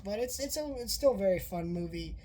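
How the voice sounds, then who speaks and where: A woman's voice: mid-range voice, animated, a little fast, noisy background. A woman who is sick and sounds off, casually reviewing a movie in a rambling way with many fillers and restarts. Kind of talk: casual spoken film review.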